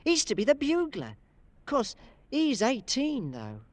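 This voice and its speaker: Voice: sits high, huge pitch swings, a little slow, no echo, exaggerated voice. A performer playing a scrappy, scheming young British army drummer boy in an old-time radio drama, cheeky and slangy. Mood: grumbling, scheming. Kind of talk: 1940s-50s radio drama acting.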